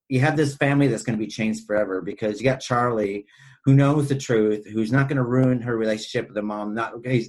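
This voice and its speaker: A male podcast co-host in casual film discussion, with a middling pitch. He talks in a rambling, conversational way and often repeats himself as he makes a point. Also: low-quality recording.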